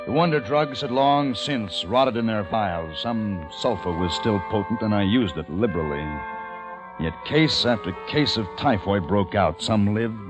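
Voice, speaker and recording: A man's voice: on the low side, dull audio. An actor playing a weary, grieving man who leads a few survivors, in a solemn, dramatic old-time radio style. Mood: grim, solemn. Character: weary, grieving male survivor leading a small community. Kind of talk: old-time radio acting with first-person narration. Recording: old radio recording with music behind.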